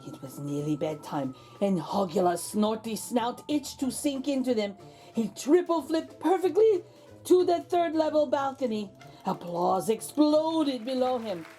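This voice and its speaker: A woman's voice: on the high side, expressive, measured pace, mellow. A woman narrating a children's picture book aloud as host, with theatrical, suspenseful emphasis. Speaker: storybook reader, host.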